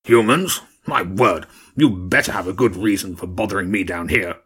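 A man voicing a grumpy male badger king with a deep, gravelly, menacing voice in a storybook reading. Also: normal speed, fairly bright tone. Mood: gruff. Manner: menacing, suspicious.

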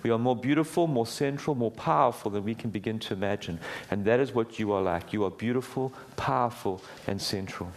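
A middle-aged man preaching a sermon in a church, with a booming voice and an earnest, conversational delivery full of small restarts. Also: a little fast, hardly any pauses. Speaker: guest preacher. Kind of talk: sermon with prayer. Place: church hall.

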